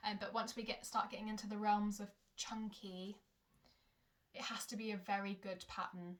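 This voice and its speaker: A woman's voice: medium pitch, little pitch movement, moderate pace, a bit bright. A young woman vlogging about her knitting at home, chatting casually and rambling, often correcting herself mid-sentence. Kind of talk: casual chat to camera. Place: home in Germany.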